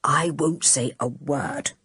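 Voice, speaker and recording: Woman doing a calm kindly gentleman. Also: fairly low pitch, breathy edge, no room sound, studio quality, full character voice. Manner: soothing and unhurried. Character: calm kindly grown man, gently amused.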